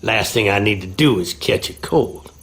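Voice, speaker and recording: Gravelly Southern handyman. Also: fairly low pitch, expressive, moderate pace, a bit bright. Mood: chatty, puzzled. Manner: folksy drawl, colloquial. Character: folksy, skeptical Southern handyman. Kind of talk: video game dialogue.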